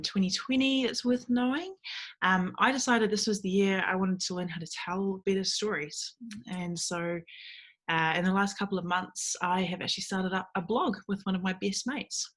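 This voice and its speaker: A young woman with a New Zealand accent giving a relaxed, chatty recorded talk to a church group from home, with frequent ums. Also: mid-range voice, moderate pace. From Fiji. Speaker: guest speaker at a ministry meeting.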